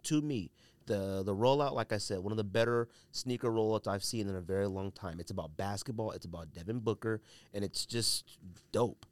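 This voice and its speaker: A man's voice: lowish voice, fairly quick, dry recording. An American man hosting a solo podcast, giving opinionated commentary in slangy, colloquial speech and repeating phrases for emphasis. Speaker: podcast host.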